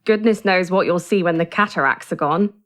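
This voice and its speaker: A woman's voice: on the low side, brisk, lightly acted. An actress in an audio drama playing a practical carer, looking after her charge in a caring but matter-of-fact way. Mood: firm, matter-of-fact. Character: practical, brisk female carer of an old man.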